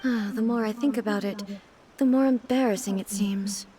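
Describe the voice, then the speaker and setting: A woman playing a dignified, earnest, naively puzzled ruler in a video game, speaking in a formal, deliberate way. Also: medium pitch, fairly even intonation, dark tone, slight background noise, close to an everyday voice. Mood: self-conscious. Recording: music playing behind the voice.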